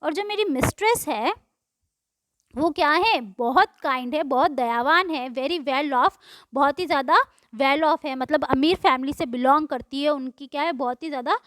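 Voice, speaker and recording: High-voiced woman teacher with a close, dry sound. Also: normal speed, fairly bright tone, dry recording. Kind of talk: explaining a poem line by line in a class lesson.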